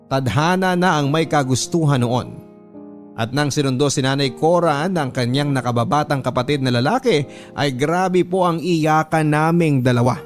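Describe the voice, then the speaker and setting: A Filipino man reading a heartfelt first-person story as a radio drama narrator. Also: medium pitch. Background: soft music.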